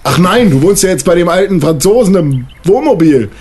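A man's voice: high voice, lively intonation, a little fast, a little mellow. A man playing a tabletop role-playing game casually, improvising and often repeating his words hesitantly. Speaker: role-play podcast player. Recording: slightly noisy room recording.

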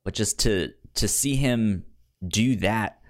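A man co-hosting a podcast, chatting casually about television in a halting way, often stammering over the starts of words. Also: fairly low pitch. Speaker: podcast co-host. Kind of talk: casual chat discussing a TV series.

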